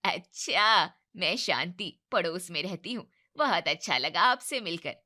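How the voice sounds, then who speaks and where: A woman voicing a nosy middle-aged neighbor who speaks slowly and teasingly. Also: lowish voice, lively intonation, pushed and bright, exaggerated voice. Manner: slow, drawn-out, teasing.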